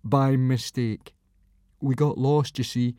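A man's voice: medium pitch, steady tone, takes it slowly, warm tone, no room sound, clean studio sound, pitched above the performer's own voice, natural voice. A man voicing a timid, miserable young Viking boy who stammers and mumbles apologies.

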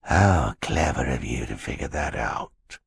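A man voicing a sly, menacing villain in an audiobook, speaking in a slow, sneaky, sinister way. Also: extremely low pitch, lively intonation, gravelly, husky, no echo, pitched below the performer's own voice, full character voice. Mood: mocking menace.

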